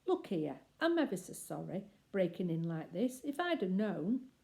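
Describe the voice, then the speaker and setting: A woman's voice: on the low side, big pitch swings, normal speed, vocal fry, dark tone. A woman voicing a chatty, polite working-class lady burglar in a children's storybook, with colloquial, ungrammatical speech. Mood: apologetic.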